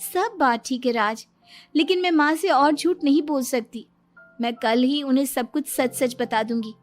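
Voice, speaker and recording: Honest young cartoon bride female narrator acting, high, earnest, gentle, music underneath. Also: normal speed, close to an everyday voice.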